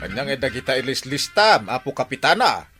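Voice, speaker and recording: A man with an Ilocano accent playing an excitable, suspicious villager in a radio comedy drama, full of questions. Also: mid-range voice, a little fast, loud music behind the voice, natural voice. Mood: wary, questioning.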